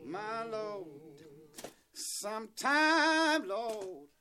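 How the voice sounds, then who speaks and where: A man's voice: sits high, expressive, drawn-out words, pushed and bright, a little hiss or hum. A man singing lead in an a cappella gospel group, calling out and stretching his lines. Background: backing vocal harmony.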